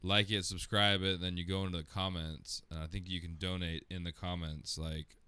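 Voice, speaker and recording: A man's voice: low-pitched, level tone, normal speed, pushed and bright, smooth, dry recording. A man co-hosting a casual talk show, joking around loosely and throwing in "dude" and "man". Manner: laid-back, joking, uses "dude" and "man". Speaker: co-host, the bartender. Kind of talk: casual podcast banter. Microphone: studio mic with headphones.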